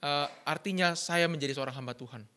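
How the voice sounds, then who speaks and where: A man interpreting a sermon live, phrase by phrase, rushing through each line. His slightly high, bright voice stumbles now and then, with fillers and self-corrections as he keeps up. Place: church.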